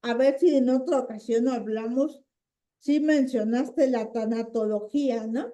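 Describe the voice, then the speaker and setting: A woman with a Mexican accent hosting an informal interview in a halting, unhurried way. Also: fairly high pitch, dark tone. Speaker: interviewer, channel host.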